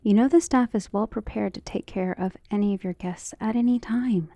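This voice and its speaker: A woman playing a devoted, outspoken servant of a noble household in an ASMR roleplay, speaking gently and deferentially in formal phrasing, often slipping into a whisper. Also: medium pitch, normal speed, dry recording, studio quality, lightly acted. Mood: polite, hesitant. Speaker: voice actor in an ASMR roleplay audio drama. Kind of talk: soft-spoken ASMR roleplay acting, often dropping to a whisper.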